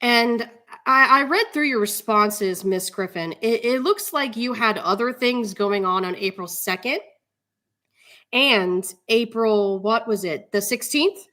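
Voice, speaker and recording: A woman playing a measured, skeptical judge in a dramatic reading of a court hearing, speaking deliberately with hesitant pauses. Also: fairly high pitch, pushed and bright.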